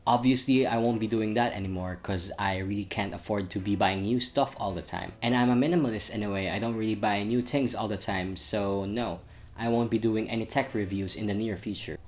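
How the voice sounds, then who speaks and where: A man's voice: on the low side, fairly quick. A young man who grew up in Saudi Arabia, with an international school accent, giving his viewers an earnest, slightly wordy channel update. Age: young adult. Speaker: channel host. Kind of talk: vlog update talking to camera.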